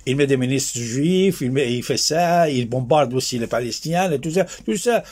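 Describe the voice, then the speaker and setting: A man giving an impassioned political monologue, emphatic and exhortative, repeating phrases insistently, with a raspy, gravelly voice. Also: mid-range voice, lively intonation, moderate pace, darkish tone. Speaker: political commentator.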